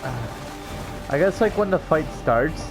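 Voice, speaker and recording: A man's voice: fairly high pitch, expressive, measured pace, rough recording. A man giving casual let's play commentary in a hesitant, rambling way. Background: game music.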